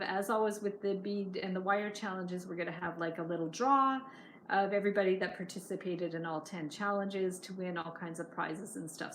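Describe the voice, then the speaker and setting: A woman's voice: medium pitch, slight background noise. A woman hosting a casual craft livestream, chatting as she reads viewer comments, her voice starting to go. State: losing her voice.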